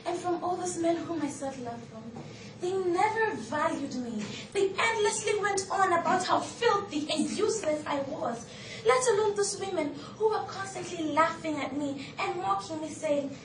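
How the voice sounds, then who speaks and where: A woman's voice: very high-pitched, animated. A woman with a Kenyan accent playing a shamed but devoted biblical outcast in a theatrical, emphatic stage monologue. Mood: wounded, amazed. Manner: theatrical, emphatic, drawn-out declamation. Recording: echoey, noisy room, distant microphone.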